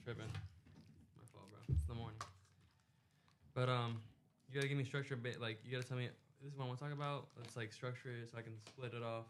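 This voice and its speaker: A man's voice: medium pitch, fairly even intonation, fast talker, long pauses, slight background noise. A young man hosting a casual podcast in a recording studio, talking loosely with lots of fillers and slang into a headset mic. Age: young adult. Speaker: podcast host.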